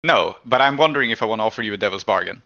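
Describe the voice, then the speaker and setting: Male game master, bright crisp delivery, improvised narration with thoughtful hesitations, slightly dull lo-fi recording. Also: on the low side, expressive, racing.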